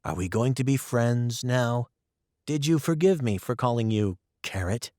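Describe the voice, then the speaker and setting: A man voicing a gentle, friendly young man in a storybook reading, close to his own voice. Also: mid-range voice, lively intonation, a little slow, dry recording, natural voice.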